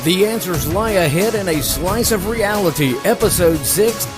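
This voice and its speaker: A man's voice: high voice, expressive, moderate pace. A man announcing a radio drama episode with scripted, dramatic narration. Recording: music under the voice. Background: music.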